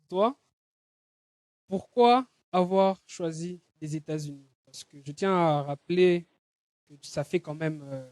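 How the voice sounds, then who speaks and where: A male podcast host opens the show, moving briskly through a prepared introduction. His voice runs high and the sound is close and dry, with no room around it. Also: a little mellow, no room sound. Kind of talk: scripted episode intro.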